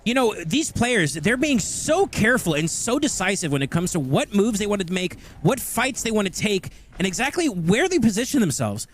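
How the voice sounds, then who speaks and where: Man, stream host, high lively voice, chatty, faint background noise. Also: moderate pace, few pauses. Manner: chatty, addresses chat directly. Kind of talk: casual stream commentary.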